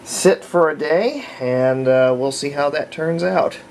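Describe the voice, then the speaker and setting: A man's voice: a little high, slow, a little mellow. Folksy man thinking aloud, noisy recording. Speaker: hobbyist restorer presenting a repair. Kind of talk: casual unscripted how-to narration.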